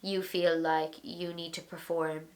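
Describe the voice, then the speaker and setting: A young Irish woman in her twenties, hosting a vlog and answering viewer questions in a frank, animated, chatty way. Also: fairly low pitch, measured pace. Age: 20s. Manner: animated, acts out little voices, frank and chatty. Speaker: vlogger, host of an advice series.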